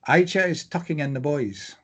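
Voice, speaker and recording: A man reading screenplay stage directions aloud as scripted narration, with a slightly husky voice. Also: on the high side, expressive, normal speed, a bit bright.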